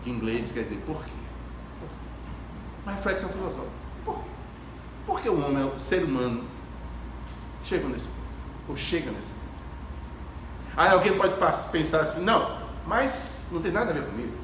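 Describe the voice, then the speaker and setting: Distant noisy phone recording, man, slightly high voice, emphatic spiritual talk, rhetorical questions, repeated phrases. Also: big pitch swings, brisk, long pauses. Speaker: speaker at a Spiritist gathering. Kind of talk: spiritual lecture to an audience, storytelling and reflective. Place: ecumenical hall before an audience. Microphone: distant phone camera. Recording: echoey hall, distant phone camera, clear background noise.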